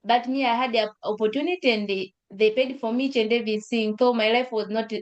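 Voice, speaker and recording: A young woman from Africa, sharing her story on a webinar call in halting English with many fillers and repeated phrases. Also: fairly high pitch, fairly even intonation, lo-fi sound. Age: young adult. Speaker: school graduate. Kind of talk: webinar testimony.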